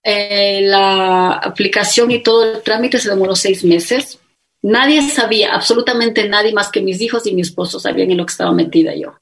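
A woman's voice: medium pitch, normal speed, gravelly, slight background noise, poor audio. A middle-aged woman with an Ecuadorian accent, earnestly telling her life story as an interview guest and acting out what others said to her. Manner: earnest, animated storytelling with quoted dialogue.

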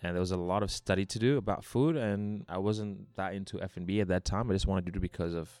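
A man's voice: sits low, fast talker, a little mellow, no echo, studio quality. A man from Hong Kong talking casually and telling anecdotes as a bar founder being interviewed.

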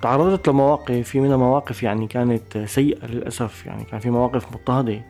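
A man speaking with a Syrian Arabic accent, his voice gravelly with fry. He talks fast but reflectively, stopping to restart phrases, with soft music faintly underneath. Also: medium pitch. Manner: thoughtful, pauses and restarts mid-phrase. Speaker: musician interviewed. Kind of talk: reflective interview talk.

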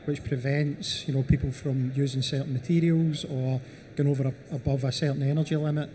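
A man's voice: medium pitch, level tone, a little fast, warm tone, slight background noise. A man speaking as a panelist in a panel discussion, leaning on "you know" as filler. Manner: repeats "you know" often.

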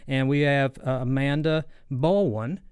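A man hosting a podcast interview, talking in a halting way with many fillers. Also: mid-range voice, darkish tone, clean tone, no room sound. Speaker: podcast host. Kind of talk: casual interview.

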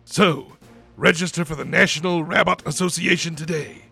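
A blustering political ad spokesman acted by a man for a comic radio play, with a heavily gravelled, rough-edged voice. He declaims with indignant fervor, forceful and bombastic, over faint music. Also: a little high, fairly even intonation, normal speed, heavily acted. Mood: defiant fervor. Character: blustering, fervent rabbit gun-lobby-style advertisement spokesman.

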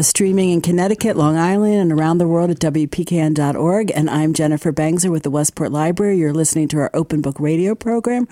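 A woman's voice: sits low, warm tone, dry recording, studio quality. A woman co-hosting a radio show in a conversational way.

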